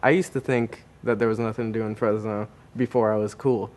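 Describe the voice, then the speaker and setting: A man's voice: on the low side, fairly even intonation, a little fast, a little mellow. A young American man answering casually in an interview with tongue-in-cheek cool. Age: young adult. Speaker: interviewee, musician. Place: indoors.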